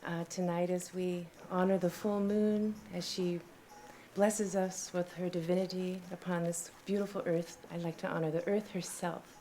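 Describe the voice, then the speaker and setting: A woman giving a spiritual, inspirational address with poetry, speaking reverently with dramatic, drawn-out emphasis. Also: lowish voice, flat delivery, dark tone. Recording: live stream with faint background noise.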